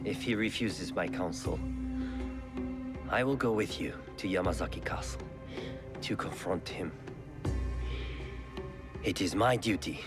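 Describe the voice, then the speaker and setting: A man playing an ailing, dutiful clan lord in a video game drama, speaking in a measured, formal way broken by sighs and coughs. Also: low-pitched, loud music behind the voice. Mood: weary, resolute. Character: ailing clan lord, sorrowful but dutiful older brother.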